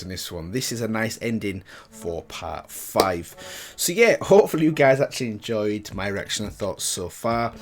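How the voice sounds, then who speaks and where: A man giving casual, chatty, informal commentary as the host of a reaction video. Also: lowish voice.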